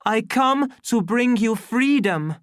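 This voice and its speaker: A reader playing an impassioned, naive young male outsider in an audiobook, declaiming in a loud, ringing way. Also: mid-range voice, lively intonation, slow pace, no echo, clean studio sound, pitched above the performer's own voice. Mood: desperate pleading.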